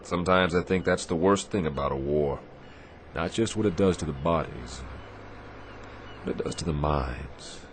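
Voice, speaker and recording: An actor playing a firm, compassionate but weary Navy captain in a radio drama, speaking with deliberate, commanding emphasis. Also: male voice, rumbling low. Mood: weary, somber. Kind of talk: dramatic radio theatre acting. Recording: faint background noise.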